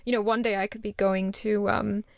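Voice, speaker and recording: A woman's voice: mid-range voice, flat delivery, moderate pace, gravelly, dry recording. A young woman from Singapore, chatting as an interview guest with lots of fillers and restarts. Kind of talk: casual interview chat.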